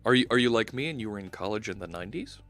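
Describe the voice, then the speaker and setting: A man's voice: lowish voice, lively intonation, racing, fairly bright tone, faint music behind. Philly-accented man chatting on a live stream. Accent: Philadelphia. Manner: chatty, thinks aloud with many fillers. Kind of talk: casual coding live stream chat. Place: home studio, indoors. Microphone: headset.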